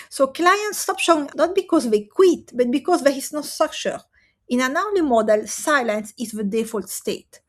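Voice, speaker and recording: Woman, fairly high voice, earnest, emphatic, direct-to-camera coaching talk. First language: Italian and French. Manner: earnest, emphatic, with rhetorical pauses.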